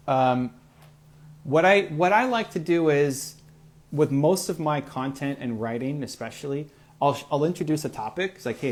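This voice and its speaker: A man in his forties hosts a live motivational stream, answering viewer questions one after another. He speaks at a middling pitch in an energetic, encouraging, off-the-cuff way, full of casual asides. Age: 40s. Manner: energetic, encouraging, conversational with fillers. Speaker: motivational livestream host.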